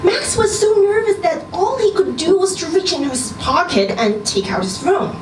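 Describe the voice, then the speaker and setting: Woman reading fiction out loud at an event, very expressive, roomy distant sound w background noise. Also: very high-pitched, normal speed. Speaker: story reader at a live literary event. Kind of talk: expressive scripted story narration. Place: indoor social venue, live reading event. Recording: roomy live venue, distant mic, noisy background.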